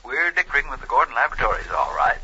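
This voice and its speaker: An actor playing a pompous, evasive corporate public relations manager in a 1950s radio drama. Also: male voice, medium pitch, sing-song, rapid-fire, pushed and bright, a little hiss or hum. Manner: pompous, blustering, says "old man". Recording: harsh, dull old radio recording.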